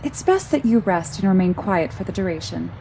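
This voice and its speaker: A woman playing a calm, controlling car computer in an audio drama, formal and clinical, coldly protective and always politely commanding. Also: medium pitch, brisk, slight background noise, natural voice. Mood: coldly insistent.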